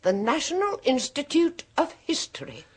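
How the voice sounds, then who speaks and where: An actress playing a knowing, mocking woman in a radio drama, speaking in a slow, drawn-out manner. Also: high voice, wildly swooping pitch. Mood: sly. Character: knowing middle-aged woman, sister of a medium, sly and mocking. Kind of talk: 1970s radio drama acting.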